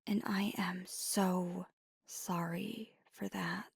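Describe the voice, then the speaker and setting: A woman voicing a gentle, remorseful vampire girlfriend in an intimate ASMR roleplay, speaking in a halting, breathy whisper close to the microphone. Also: lowish voice, steady tone, dry recording, full character voice. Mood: earnest, remorseful. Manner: halting, hushed, mostly whispered confession. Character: gentle, remorseful female vampire girlfriend, anxious, tender confessor. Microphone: close, dry microphone.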